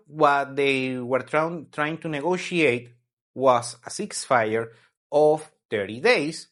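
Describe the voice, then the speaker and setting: A man from Venezuela hosting a weekly news commentary, explaining events in a halting way as he searches for words. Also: mid-range voice, fairly bright tone. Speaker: host.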